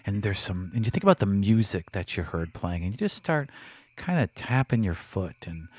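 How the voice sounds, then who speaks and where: A man's voice: lowish voice, animated, moderate pace, a little mellow, a little hiss or hum. A man narrating an improvised tabletop role-playing game as game master.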